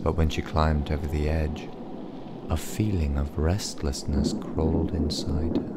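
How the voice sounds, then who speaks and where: A man narrating a bedtime story in a dramatic, measured and suspenseful style. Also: very deep voice, little pitch movement, heavy background noise.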